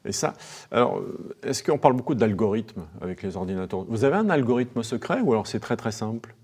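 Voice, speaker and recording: A man's voice: fairly low pitch, lively intonation, brisk, a little mellow, slightly rough. A man hosting a conversational interview, explaining in a chatty way and tacking tag questions onto his remarks. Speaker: host.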